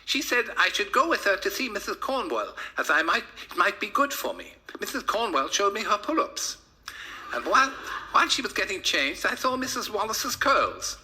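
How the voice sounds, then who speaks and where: Man acting childish naive man, high-pitched, eager, earnest, comic storybook tale, faint background noise. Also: big pitch swings, moderate pace, sharp tone, full character voice, low-quality recording.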